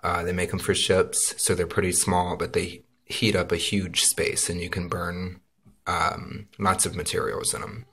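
A man's voice: low-pitched, unhurried, slight background noise. A man filming a do-it-yourself cabin build and talking casually, with plenty of "uh" and "um" fillers. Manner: relaxed, lots of uh and um fillers. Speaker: vlogger filming a DIY build. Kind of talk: casual home video narration. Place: backyard, then inside a small wooden cabin.

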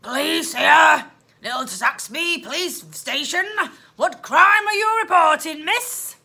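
Woman putting on a booming, sceptical policeman. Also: expressive, vocal fry, pushed and bright, heavily acted. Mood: brusque, booming. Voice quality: growly. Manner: booming, drawn-out, incredulous questioning. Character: gruff, growling, loud policeman on the phone, sceptical. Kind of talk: children's storybook read-aloud.

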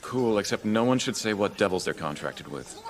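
Man playing a deep-voiced stern young man. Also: lively intonation, natural voice. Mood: stern, matter-of-fact. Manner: formal, measured, dry. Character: stern, cautious young man devil hunter. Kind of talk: English anime dub acting.

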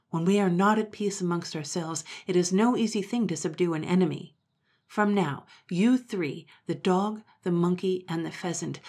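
A woman's voice: on the low side, darkish tone. A woman voicing a young male hero who leads an animal army, speaking in a firm, commanding way.

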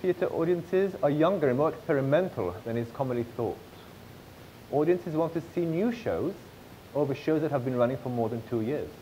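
A man in his thirties presenting a business pitch from a script on stage, with occasional slips and restarts. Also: expressive, moderate pace, dark tone, a little hiss or hum, poor audio. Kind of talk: read-aloud business pitch. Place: large theatre stage.

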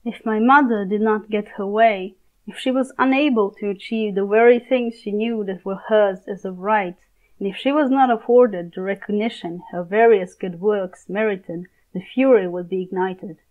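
A young woman giving a deliberate, theatrical scripted reading as an amateur voice actor. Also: medium pitch, narrow, tinny audio. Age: young adult.

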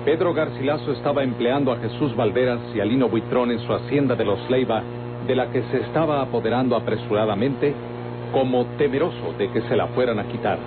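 A man's voice: medium pitch, brisk. A man with a Mexican accent reading scripted narration for an old radio drama. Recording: tinny old radio recording, music behind.

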